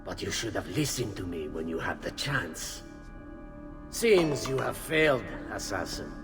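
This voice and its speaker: An actor playing a cold, treacherous villain in a video game, mocking and theatrical, with an Italian accent. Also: male voice, on the low side, big pitch swings, unhurried. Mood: smug, cold. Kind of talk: dramatic video game acting. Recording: reverberant, with music behind.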